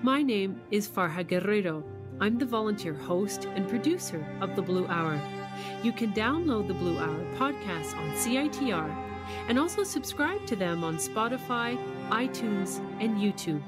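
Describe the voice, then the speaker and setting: A woman's voice: mid-range voice, sing-song, normal speed, over loud music. A woman hosting a radio interview show, speaking to guest and listeners. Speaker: radio host.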